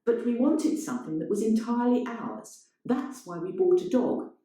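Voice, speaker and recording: A woman's voice: a little high, expressive, moderate pace, mellow, voice pushed up, natural voice. A woman voicing a wry, confiding adulterous man in a stage monologue read as a story. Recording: very echoey room.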